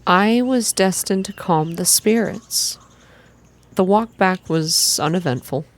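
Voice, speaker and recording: Soft-spoken woman reading, lowish pitch. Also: slow. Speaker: reader, narrator. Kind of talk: ASMR reading of a visual novel, scripted first-person narration.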